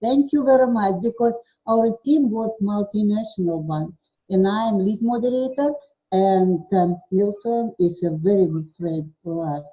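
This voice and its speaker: A woman whose first language is German, speaking English haltingly in a live online discussion as a participant and moderator. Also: medium pitch, lo-fi sound. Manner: halting, searching for words.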